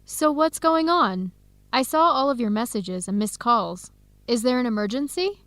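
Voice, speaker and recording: A woman playing a determined young American woman in an English-learning radio drama, speaking in clear, simple scripted sentences with an American accent. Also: on the high side, lively intonation, moderate pace, fairly bright tone, no echo. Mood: supportive, interested. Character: Helen, determined young American woman searching for birth family.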